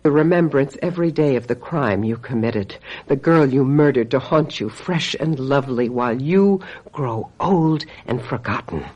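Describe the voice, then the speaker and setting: An actress playing a vengeful, scheming woman who calls herself a witch, with deliberate, mock-sweet menace in an old-time radio mystery style. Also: a bass voice, expressive, dark tone, husky, highs cut off, exaggerated voice. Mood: coldly triumphant.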